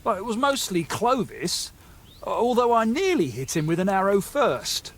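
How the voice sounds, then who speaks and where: An actor playing a nervous, gullible young medieval bandit in a game, with a hesitant, stammering, excitable way of talking. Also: male voice, high-pitched, a little slow, full character voice. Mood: spooked, earnest. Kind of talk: video game dialogue acting.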